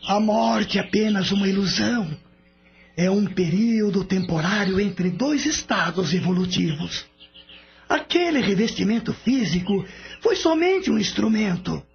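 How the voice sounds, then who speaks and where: A man's voice: sits high, animated, vocal fry, husky, exaggerated voice. An actor playing a serene older male spirit with a Brazilian accent, patiently explaining in a radio drama. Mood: serene, instructive. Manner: didactic, measured explaining. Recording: dull lo-fi radio recording with music. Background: music.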